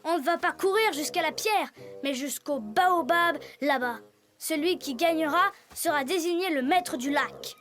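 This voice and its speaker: A woman's voice: high-pitched, measured pace, a bit bright, soft background music. A woman voicing a brave young male leopard cub in a cartoon, spirited and confident. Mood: challenging. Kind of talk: animated series dubbing.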